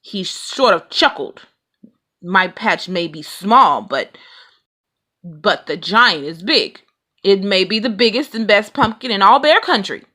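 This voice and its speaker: A grumbling, boastful father bear from a storybook, played by a woman reader. He is blustery and competitive, with emphatic, animated swings in his delivery. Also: medium pitch, slow pace, exaggerated voice. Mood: indignant, boastful.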